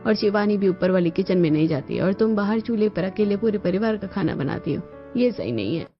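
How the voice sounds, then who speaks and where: A woman voicing a kindly, concerned older mother-in-law in a cartoon family story, measured and motherly. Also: medium pitch, lively intonation, lightly acted. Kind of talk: Hindi cartoon story acting. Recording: muffled lo-fi sound with music bed.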